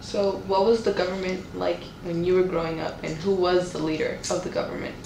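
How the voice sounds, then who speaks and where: A woman's voice: lowish voice, fairly even intonation, fairly quick. A woman interviewer asking prepared questions in an interview. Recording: roomy, distant mic, clear background noise.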